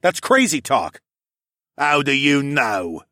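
A man's voice: fairly high pitch, big pitch swings, normal speed, vocal fry, breathy edge, no echo, studio quality, voice pushed up, full character voice. A man voicing an angry, sneering male polar bear demi-human who leads a mob in an audiobook fantasy story. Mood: angry, defiant. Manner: belligerent, shouting.

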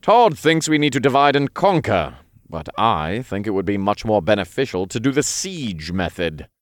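A worn-out archangel, as a male narrator plays him, talking slowly and sighing through each point. The voice is low and lively in pitch, patient but fed up. Close, dry storybook sound. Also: no room sound. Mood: weary. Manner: sighing, tired, measured.

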